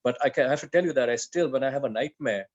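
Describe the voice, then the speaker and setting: A man from India chats casually over a video call, poking fun at himself. The call audio is muffled and lo-fi, and his pitch sits in an ordinary middle range. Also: very fast. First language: English. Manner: chatty, self-deprecating storyteller. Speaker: guest on aviation talk.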